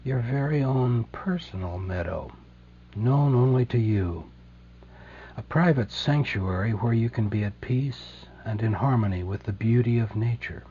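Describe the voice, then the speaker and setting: Male meditation guide, dark relaxed tone, gentle and unhurried with deliberate pauses; slightly dull recording with some background hum. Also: medium pitch. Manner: slow, soothing, gentle delivery with deliberate pauses.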